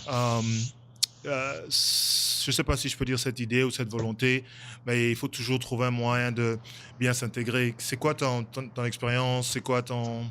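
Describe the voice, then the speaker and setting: A man hosts a casual interview podcast in a middle-pitched voice. He asks his questions loosely and hesitantly, often restarting a phrase before he finishes it. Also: flat delivery, unhurried, fairly bright tone, a little hiss or hum. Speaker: podcast host.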